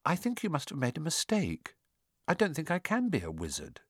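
A man voicing a timid, puzzled young boy who speaks quietly and earnestly, in an audiobook. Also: sits high, huge pitch swings, brisk, no echo, clean studio sound, pitched above the performer's own voice. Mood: quiet doubt. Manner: quiet, hesitant, questioning. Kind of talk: audiobook character acting.

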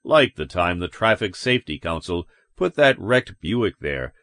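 A man voicing a calm, dignified store owner in a story, unhurried and nonchalant. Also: low voice, dry recording, voice pushed down, exaggerated voice.

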